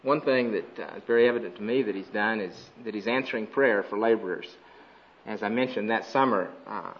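Earnest man giving a mission report. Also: on the low side. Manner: earnest, steady, frequent "uh" pauses. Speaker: missionary speaker. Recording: dull lo-fi recording.

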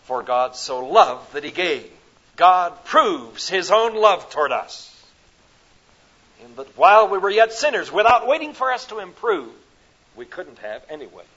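A man from the American Midwest with a Midwestern accent, preaching a sermon at a conference, rising to loud emphatic peaks and dropping to soft, slow phrases. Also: high-pitched, very animated, breathy edge. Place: conference hall. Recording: dull lo-fi recording.

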